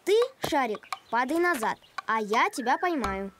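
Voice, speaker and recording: An actor voicing a sensible, earnest young boy in an animated series, reasoning and encouraging like a peacemaker. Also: mid-range voice, moderate pace, heavy background noise, close to an everyday voice.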